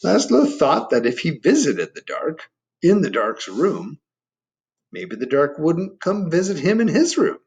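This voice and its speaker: Animated, creaky-voiced man reading to children. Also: a little high, unhurried. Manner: explains and asks the listeners questions. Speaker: storybook reader. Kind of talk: read-aloud for children with chatty asides.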